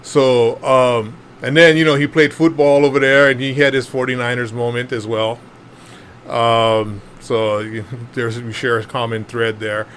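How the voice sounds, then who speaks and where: A man's voice: medium pitch, little pitch movement, a little slow, a bit bright. Man in his 50s, Hawaiian Pidgin-tinged English, casual storyteller, self-deprecating, chuckles often, loose filler-heavy talk, faint background noise. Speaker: panel speaker.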